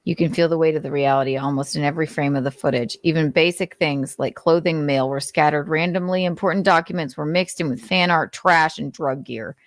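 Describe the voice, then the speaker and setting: Low-voiced, sardonic woman commentator. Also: fairly quick, hardly any pauses, dry recording. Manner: sardonic, exasperated, swears casually. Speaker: host. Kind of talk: commentary mixed with dramatic reading.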